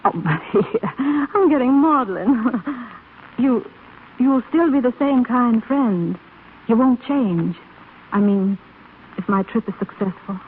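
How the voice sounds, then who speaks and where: An actress playing a gentle blind woman, brave yet yearning and despairing, in a 1930s radio drama. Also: a little high, expressive, slow pace. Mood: wistful, tender. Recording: scratchy, phone-like 1930s radio broadcast recording.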